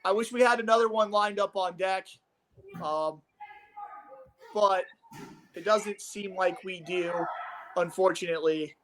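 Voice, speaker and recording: High-voiced enthusiastic martial arts commentator. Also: male voice, unhurried, pauses often, a bit bright, poor audio. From Omaha, Nebraska. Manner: enthusiastic, rambling, coach-like. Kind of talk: casual sports commentary.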